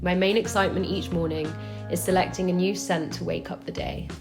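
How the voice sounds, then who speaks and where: A young woman narrating a scripted, reflective voice-over in a calm, measured way. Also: on the low side, gravelly. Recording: roomy echo, faint music.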